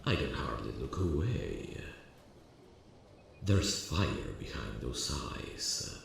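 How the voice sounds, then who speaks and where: A man playing a proud, charming demon king in an ASMR fantasy roleplay, speaking in a gravelly, grandiose, theatrical way. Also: very deep voice, a little hiss or hum, exaggerated voice. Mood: intrigued, flirtatious. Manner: grandiose, theatrical, slow and deliberate.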